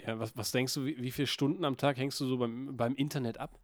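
A man's voice: mid-range voice, steady tone, fast talker, no echo. A man chatting casually and ironically, often drifting into mock-serious rants. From Berlin. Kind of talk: joking casual chat.

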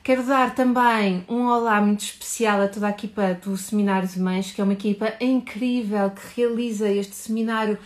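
A woman with a European Portuguese accent hosting a live stream, warm and enthusiastic, speeding up and then slowing down to explain. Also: medium pitch, steady tone. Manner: warm, enthusiastic, talks fast and slows to explain. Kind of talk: animated live stream welcome.

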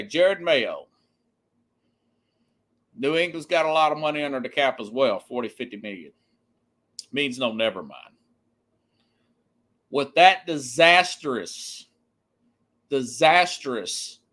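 A man's voice: a little high, fairly bright tone. A man hosting a sports podcast, giving an opinionated, conversational monologue and repeating phrases for emphasis.

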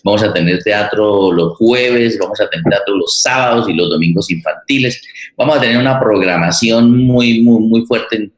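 An older man with a Colombian accent hosting an unscripted event, haltingly searching for his words. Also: lowish voice. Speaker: host and theatre director. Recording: roomy, echoing voice pickup.

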